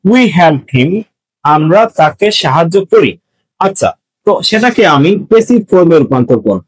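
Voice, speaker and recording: A man's voice: on the high side, sing-song, normal speed, husky, rough recording. A man teaching students in an explanatory, step-by-step manner, repeating key points as he goes. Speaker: English grammar teacher. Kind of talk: explanatory lesson addressed to students.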